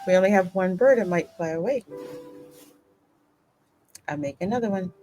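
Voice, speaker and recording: A woman doing casual, playful gameplay commentary and roleplaying the heroine's lines in an expressive, colloquial way. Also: lowish voice, normal speed. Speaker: gaming streamer voicing the player heroine. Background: faint music.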